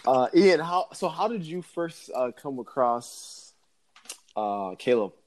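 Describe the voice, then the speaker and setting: Co-host: man, mid-pitched voice, teasing and playful with the guest. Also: big pitch swings, takes it slowly. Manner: joking, playful questioner. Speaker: podcast co-host. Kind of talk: casual interview chat.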